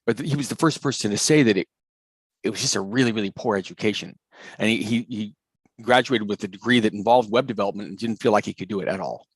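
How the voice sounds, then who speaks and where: A man's voice: lowish voice, quick pace, slightly rough, no room sound. A man running a live online coding class, talking casually and enthusiastically with lots of fillers and restarts. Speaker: coding course instructor. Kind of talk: casual live class over video call.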